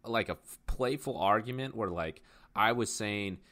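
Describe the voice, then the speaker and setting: Young man, very deep voice, bright forceful tone, rambling casual chat, lots of "like". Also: normal speed. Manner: rambling, heavy use of "like". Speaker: podcast co-host, guest.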